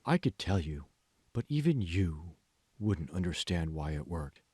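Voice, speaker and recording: Man voicing a smug, low, measured AI assistant. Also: very animated, darkish tone, slightly rough, no room sound, clean studio sound, exaggerated voice. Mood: coolly controlling. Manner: slow, condescending, coolly controlling. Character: smug, manipulative AI assistant speaking from a phone. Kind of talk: audiobook character voice.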